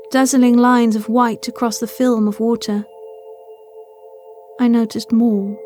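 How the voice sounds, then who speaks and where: Higher-voiced woman narrating horror over music. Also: mellow. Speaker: audio fiction narrator. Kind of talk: scripted first-person horror story narration. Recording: music playing behind the voice. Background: atmospheric new-age music.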